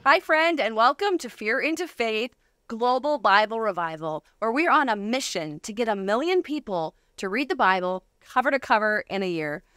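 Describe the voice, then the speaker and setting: Higher-voiced, effusive woman hosting. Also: animated, normal speed, a bit bright, smooth, no echo. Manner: effusive, playful. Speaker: show host. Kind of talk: casual studio interview chat. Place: studio.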